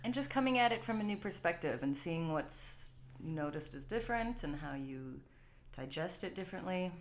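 A woman's voice: fairly low pitch, expressive, normal speed. A woman co-hosting a podcast in casual chat, with a playful, bantering manner. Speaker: podcast co-host. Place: living room.

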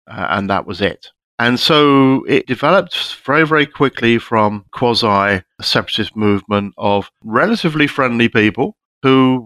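A man with a lowish voice tells his field experiences steadily and plainly. The sound is dry and close, as on a call. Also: bright, forceful tone, no room sound. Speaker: former international monitor. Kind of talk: recounting experiences in conversation. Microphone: call microphone.

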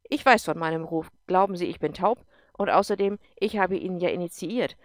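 A woman reading aloud and voicing a strict, commanding female general who talks in clipped, forceful sentences. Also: lowish voice, moderate pace, no room sound, studio quality, lightly acted. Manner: clipped, forceful, brooks no argument. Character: stern, commanding female general, middle-aged.

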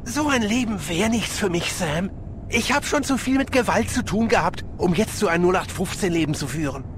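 An actor playing an easygoing, devoted police sergeant in an audio drama. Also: male voice, high voice, animated, moderate pace, a bit bright, a little hiss or hum. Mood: earnest, soothing.

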